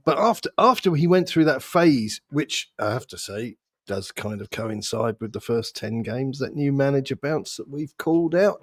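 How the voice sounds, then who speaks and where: A man's voice: on the high side, no room sound, studio quality. A man chatting casually as a football podcast host, joking, sarcastic and sweary. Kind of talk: casual fan banter and chat.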